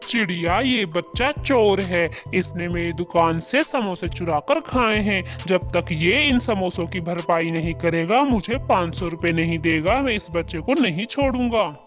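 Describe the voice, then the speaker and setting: A man's voice: high-pitched, lively intonation, a little slow, few pauses, a bit bright. A man plays a greedy male crow running a samosa stall in a cartoon. The crow is a boastful, wheedling bully who scolds and swindles. Music plays underneath. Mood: angry, threatening. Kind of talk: Hindi cartoon voice acting.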